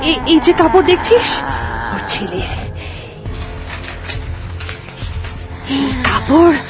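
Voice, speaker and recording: A vengeful sorceress mother in an audio horror drama. Her high, gravelly voice carries dark incantations and bitter spite. The sound is dull and lo-fi, with clear music behind her. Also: big pitch swings. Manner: drawn-out incantations and bitter, obsessive muttering.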